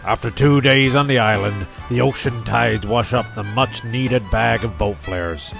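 Male narrator, gravelly, mid-pitched, for a scripted comedy tale over music. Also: a little slow. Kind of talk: scripted comic storytelling narration.

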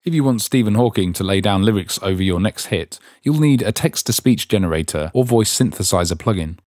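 A man's voice: lowish voice, animated, fairly quick, darkish tone, no echo. A man reading scripted instructional narration as the narrator of a tutorial.

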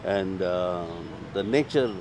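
A man, talking as a guest in a casual outdoor interview, halting with many fillers. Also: sits low. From Uganda. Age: 60s. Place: outdoor garden. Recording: outdoor noise, traffic behind.

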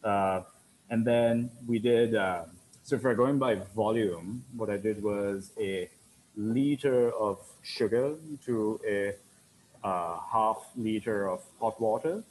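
A man in his thirties explains a drink recipe from behind a bar in an easygoing, rambling way. His voice sits a little low. A faint hiss sits under the recording. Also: slow pace, a little mellow, rough recording. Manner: easygoing, repeats words while explaining. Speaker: bartender. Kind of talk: cocktail demonstration. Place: dim bar set, indoors.

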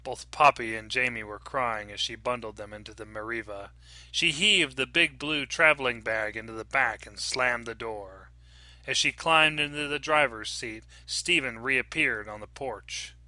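A man narrates fiction dramatically, like an audiobook reader. His voice is very bright and forceful, at a middle pitch, recorded dry and close. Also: moderate pace, dry recording.

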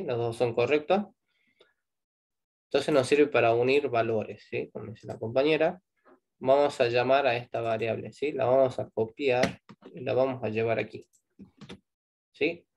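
Gravelly Argentine man teaching code. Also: mid-range voice, a little mellow, a little hiss or hum. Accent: Argentine Spanish. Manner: explains step by step, tags lines with a checking "¿sí?". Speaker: programming teacher. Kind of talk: live online programming class.

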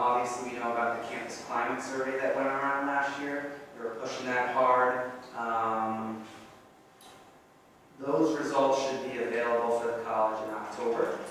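A young man reads out a meeting report in a level, flat voice, stopping often on ums and uhs. He is picked up from far off in an echoey meeting room with a long tail of reverb and faint hiss. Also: mid-range voice. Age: young adult. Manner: halting, many ums and uhs. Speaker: student government president. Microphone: far camera.

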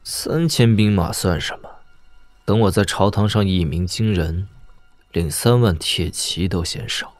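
A deep-voiced boastful young heir. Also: male voice, a little slow, no echo, soft background music. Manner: boastful, haughty. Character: cocky, ambitious young heir boasting of glory. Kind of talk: Chinese martial-arts audio drama acting.

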